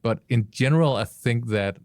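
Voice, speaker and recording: A man's voice: medium pitch, normal speed, a little mellow, dry recording, studio quality. A man on a podcast panel, reasoning aloud and giving his opinions conversationally.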